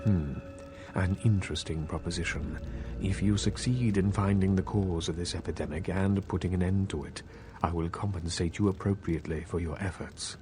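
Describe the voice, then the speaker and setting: A man as a deep, formal vampire elder. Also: over music, lightly acted. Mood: measured. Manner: formal, measured, archaic courtesy. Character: courteous, scholarly vampire regent, patient, secretive mentor. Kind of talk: video game role-playing dialogue.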